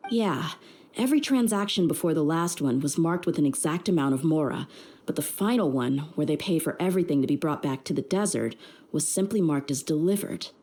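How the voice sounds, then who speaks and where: A woman's voice: sits low, lively intonation, brisk, a little mellow. A woman voicing a tough, confident young female mercenary with a blunt, streetwise manner in a video game. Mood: puzzled, suspicious. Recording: music behind the voice. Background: game music.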